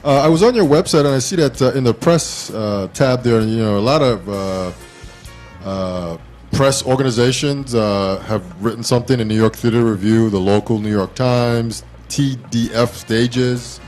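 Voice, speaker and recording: Halting, informal male talk show host. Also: medium pitch, darkish tone, faint music behind. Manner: halting, informal questioning with brief acknowledgements. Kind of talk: casual interview.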